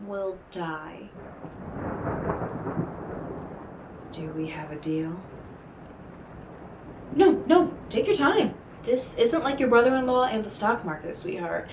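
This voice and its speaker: A woman playing an enigmatic, smooth-talking otherworldly stranger in an audio roleplay, speaking in a coaxing, knowing, theatrical way with dramatic pauses. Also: medium pitch, a little mellow. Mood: persuasive, measured. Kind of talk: scripted audio roleplay monologue addressed to the listener. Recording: roomy echo, clearly noisy background.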